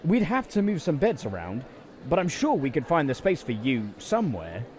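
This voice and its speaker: Casting: man playing post-apocalyptic camp leader, highish voice, hugely expressive, kindly yet commanding, polite turns of phrase. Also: normal speed. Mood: warm, grateful. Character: kindly settlement leader under raider attack, courteous, commanding. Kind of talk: post-apocalyptic audio drama acting.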